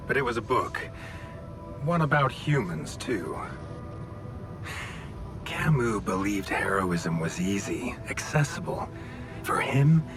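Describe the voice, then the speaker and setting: Slow, calculating corporate boss. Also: male voice, lowish voice. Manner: measured, condescending, faux-sympathetic, erudite. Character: smooth-talking, calculating corporate mining boss. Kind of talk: cinematic video game acting. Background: prominent music.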